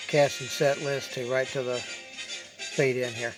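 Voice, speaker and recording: A man hosting a read-along video speaks casually in a medium-pitched voice. He gives explanatory asides with clear music behind him. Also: little pitch movement, slow pace. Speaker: video host presenting a vintage script.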